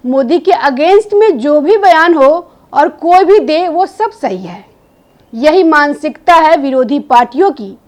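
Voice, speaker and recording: High-pitched woman presenting political news commentary. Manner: opinionated, emphatic rhetorical questioning.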